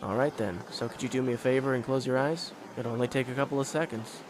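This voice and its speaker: A man voicing a calm, polite teenage esper boy in an amateur anime fan dub, explaining things in a composed, courteous way. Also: medium pitch, fast, noisy background, close to an everyday voice. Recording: loud music under the voice.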